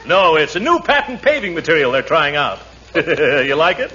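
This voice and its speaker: An actor playing a pompous, gloating neighbor who chortles at a friend's misfortune in a 1940s radio comedy. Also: male voice, a little high, sing-song, moderate pace, pushed and bright. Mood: gloating, amused. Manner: chortling, mocking, self-satisfied. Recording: dull 1940s radio recording, faint noise.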